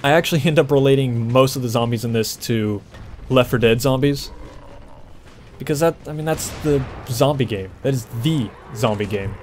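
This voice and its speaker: Male walkthrough host, conversational and explanatory, mid-pitched voice, faint game noise behind. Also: normal speed, a little mellow. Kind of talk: casual gameplay commentary. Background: video game ambience.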